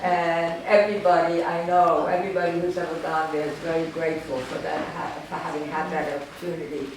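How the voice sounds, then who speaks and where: An older woman, a German native speaker from Romania, giving an informal talk about her life, halting and rambling with lots of fillers and wry self-deprecating humor. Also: deep voice, flat delivery. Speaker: artist giving a gallery talk. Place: art gallery room. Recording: echoey gallery, distant, noisy ambience.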